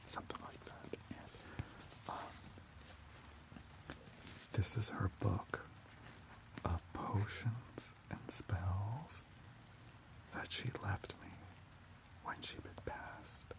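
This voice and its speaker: A man playing a gentle mystical alchemist in an ASMR roleplay, musing slowly in a breathy whisper. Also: lowish voice, full character voice, poor audio. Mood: hushed, musing. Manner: slow, halting, musing. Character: gentle mystical alchemist consulting an old spellbook.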